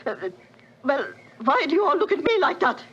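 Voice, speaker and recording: A distraught widow. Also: female voice, sits high, big pitch swings, a little fast, a bit bright. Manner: agitated, broken. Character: distraught foreign widow, woman. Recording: muffled 1940s radio broadcast with faint hiss.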